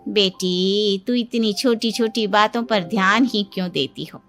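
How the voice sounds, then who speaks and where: Woman voicing a gentle older mother. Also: medium pitch, measured pace, over music, lightly acted. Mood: soothing. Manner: soothing, advising.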